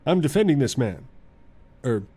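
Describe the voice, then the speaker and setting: An actor playing a polite, formal lawyer in an old-time radio drama, speaking in measured, courteous phrases. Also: male voice, mid-range voice, sing-song, mellow, husky. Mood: dry, formal. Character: polite, formal male lawyer and earnest fiancé.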